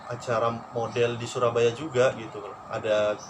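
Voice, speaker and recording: Young guy with a madurese-tinged accent telling stories, relaxed, middle pitch, noisy background. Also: moderate pace. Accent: Madurese-tinged Indonesian. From Probolinggo, East Java. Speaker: radio announcer and aspiring actor. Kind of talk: casual storytelling chat.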